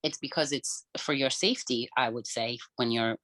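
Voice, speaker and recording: A woman whose first language is Cape Verdean Creole, sharing personal experiences in a relaxed podcast chat and pausing often to think. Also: extremely low pitch, no echo. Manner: thoughtful, pauses often with "um". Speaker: podcast co-host. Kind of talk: casual personal conversation.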